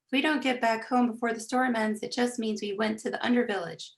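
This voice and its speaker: A woman plays a concerned father from a storybook. He is practical and protective, giving firm instructions as if calling to his family, with a creaky edge. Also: lowish voice, lively intonation, fairly quick, fairly bright tone. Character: concerned, practical father leading a storm search. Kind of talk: acted audiobook dialogue.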